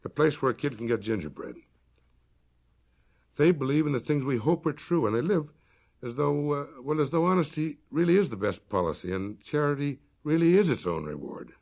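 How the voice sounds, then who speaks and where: A man's voice: mid-range voice, expressive, normal speed, creaky, darkish tone, voice pushed up. A man voicing a reticent, troubled farmer in a story, speaking plainly and hesitantly. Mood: uneasy.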